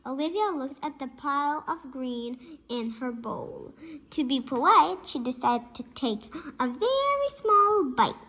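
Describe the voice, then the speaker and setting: Slow, high-voiced young girl reading. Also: animated, bright, forceful tone. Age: child, about 8. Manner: halting, careful reading with slips. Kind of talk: storybook read aloud.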